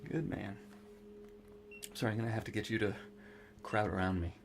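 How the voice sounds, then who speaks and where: An actor in an audio drama playing a manic, sleep-deprived, scatterbrained scientist who rambles and stammers. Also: male voice, lowish voice, fairly even intonation, moderate pace, gravelly, noisy background. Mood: agitated, earnest.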